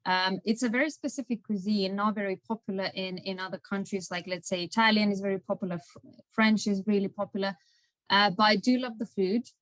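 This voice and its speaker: A young woman with a Lithuanian accent answers interview questions in a careful, hesitant way. Her voice sits at an ordinary pitch and sounds close and dry, with no room around it. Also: fairly even intonation, a little fast, dry recording, low-quality recording. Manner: thoughtful, hesitant. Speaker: guest.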